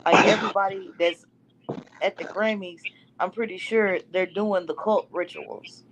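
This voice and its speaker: A woman hosting an online call-in chat, casual and quick to agree with her guests. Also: mid-range voice, steady tone, a little hiss or hum, rough recording. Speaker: host of a call-in talk show.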